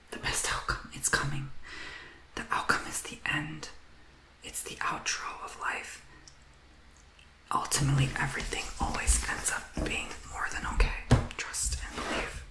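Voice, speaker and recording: A man giving a tarot reading in a soft whisper, earnest and emotional, rambling as he encourages the listener. The recording has a roomy echo. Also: mid-range voice. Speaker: tarot reader.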